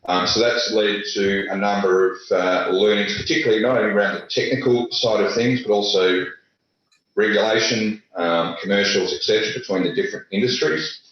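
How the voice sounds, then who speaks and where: A low-pitched man gives his panel remarks over a video call. He talks haltingly and keeps restarting with fillers. The sound is dull and lo-fi, with heavy room echo. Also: fairly even intonation, a bit bright. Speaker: panelist, industry executive. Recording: echoey, dull lo-fi audio.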